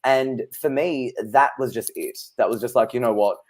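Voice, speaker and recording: A man's voice: medium pitch, moderate pace, darkish tone. Chatty man in his thirties. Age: 30s. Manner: chatty, anecdotal, laughs easily. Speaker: psychic guest. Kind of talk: casual interview chat.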